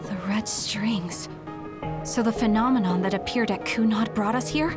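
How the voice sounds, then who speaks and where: A woman's voice: mid-range voice, moderate pace, music in the background, natural voice. An actress playing a serious, bewildered young female psionic fighter in a dramatic video game scene. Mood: confused, questioning.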